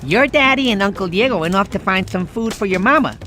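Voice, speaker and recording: An actor voicing a goofy, doting cartoon uncle sloth who tells a bedtime story to a child in a rambling, folksy, dramatic way. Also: male voice, high voice, lively intonation, brisk, pushed and bright. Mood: storytelling. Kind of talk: animated storytelling to a young child. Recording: music behind the voice.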